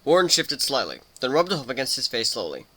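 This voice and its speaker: A man's voice: mid-range voice, huge pitch swings, quick pace, sharp tone, poor audio. A man narrating a story as a scripted dramatic reading.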